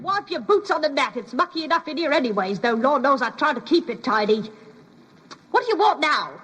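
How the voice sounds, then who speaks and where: A woman's voice: high voice, very animated, brisk, a bit bright. An actress playing a grumbling, garrulous working-class British charwoman in an old-time radio drama, scolding and guileless. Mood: grumbling, defensive. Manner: garrulous, scolding, comically oblivious. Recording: reverberant vintage radio recording.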